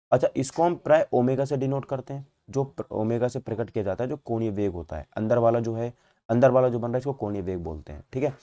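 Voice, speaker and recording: A man's voice: medium pitch, dark tone. A man with a North Indian accent giving an explanatory physics lecture as a teacher, repeating points and answering his own questions. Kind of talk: explanatory exam-prep lecture.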